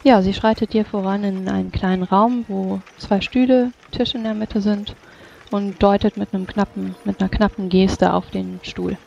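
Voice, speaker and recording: A tabletop roleplayer speaking formally and in a measured way, switching between in-character speech and narration. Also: medium pitch, steady tone, creaky, darkish tone, dull audio, slight background noise. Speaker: player or game master voicing characters in a tabletop game.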